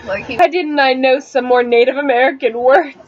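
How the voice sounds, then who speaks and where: A woman's voice: sits high, a little fast. A woman giving an amateur dramatic reading of a novel, prone to giggles and stumbles, recorded on a webcam microphone. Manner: breaks into giggles and stumbles over words. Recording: dull, noisy webcam audio.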